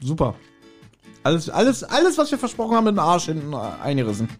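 Lockerer Podcaster mit eher hoher Männerstimme. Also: male voice, fairly high pitch, huge pitch swings, quick pace, soft background music. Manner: chatty, self-mocking, jokey. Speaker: podcast host. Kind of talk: casual, joking podcast chat.

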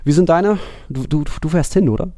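Man, dark gravelly voice, close dry sound, casual football podcast co-host, conversational. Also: a little high, brisk, dry recording. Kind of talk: casual football analysis chat.

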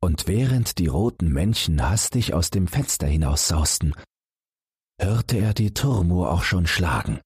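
A man reading a fairy tale as a calm bedtime story, gently addressing young listeners. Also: sits low, measured pace, husky, no echo, clean studio sound. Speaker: audiobook narrator.

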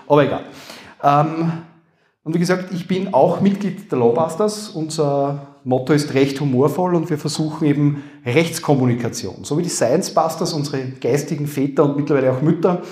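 A man with an Upper Austrian accent, a lawyer speaking live to an audience, making dry joking asides that trail off low. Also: darkish tone. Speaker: lawyer and comedy panelist. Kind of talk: live stage talk with jokes. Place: university hall before a live audience. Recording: echoey room.

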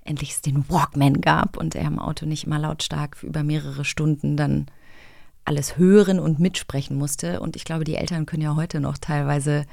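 A woman co-hosting a podcast, chatting in a playful, relaxed way into a studio microphone. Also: low-pitched, moderate pace, vocal fry, dry recording. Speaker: podcast co-host. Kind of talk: casual chat.

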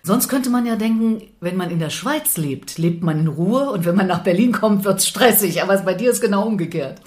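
A woman's voice: mid-range voice, animated, normal speed, darkish tone. A woman in her sixties from Thuringia, hosting a podcast interview with curious, easy questions. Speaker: podcast host. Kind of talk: conversational interview.

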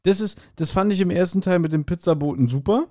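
A man's voice: high voice, fast, warm tone, no room sound, clean studio sound. A man co-hosting a podcast in casual chat, with a joking, colloquial way of talking. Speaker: podcast co-host.

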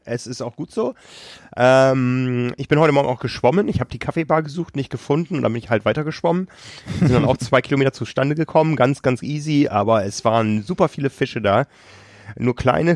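A man's voice: medium pitch, brisk, darkish tone, dry recording, studio quality. A man co-hosting a podcast, telling stories in a casual chat while calling in remotely from a hotel, slightly ill with a cough and laughing often. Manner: chatty storyteller, laughs often. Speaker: podcast co-host. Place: hotel on a tropical island. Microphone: remote call.

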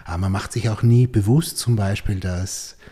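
A man's voice: on the low side, moderate pace, warm tone, slightly rough. A man hosting a podcast, chatting casually in long, enthusiastic, rambling turns. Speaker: podcast host. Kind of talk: casual interview conversation.

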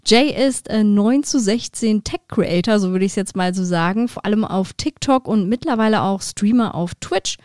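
A woman hosts a podcast in a bright, upbeat way, welcoming guests and leading the questions. Her voice sits in a middle pitch. The recording is dry and close, with no room sound. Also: moderate pace, few pauses, no room sound. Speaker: podcast host. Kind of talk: podcast hosting and interviewing.

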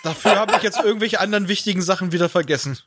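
A man's voice: high-pitched, racing, noisy background. A man talking casually and playfully as a panelist on a podcast. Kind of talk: casual chat.

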